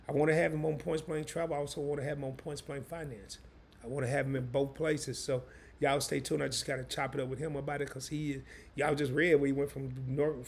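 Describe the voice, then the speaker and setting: A hoarse man hosting a live stream, chatting loosely and in slang straight to his viewers. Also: a little high, a little mellow. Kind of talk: casual live stream chat.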